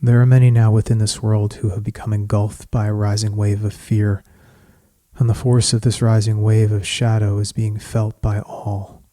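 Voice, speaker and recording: A man leading a guided meditation in scripted poetic narration, speaking in a measured, soothing, solemn manner with long pauses. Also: fairly low pitch, level tone, warm tone, no echo, studio quality.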